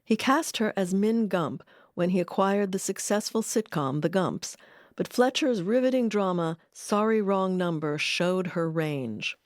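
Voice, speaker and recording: Woman narrating a documentary, measured delivery. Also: medium pitch, a little mellow, dry recording. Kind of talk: scripted narration.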